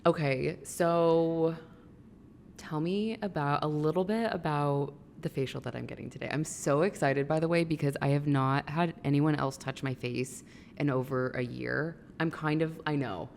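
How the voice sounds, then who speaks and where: A woman's voice: sits low, moderate pace, gravelly, a little mellow, slight background noise. A woman in her thirties hosting a casual, chatty interview, talking informally with lots of "like". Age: 30s. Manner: chatty, informal, filler-heavy with frequent "like". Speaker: podcast host interviewing a guest.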